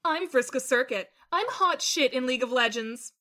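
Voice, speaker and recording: A woman acts out a brash young gamer in an audio drama. Her voice is high and loud. She comes across as boastful and easily excited. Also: normal speed.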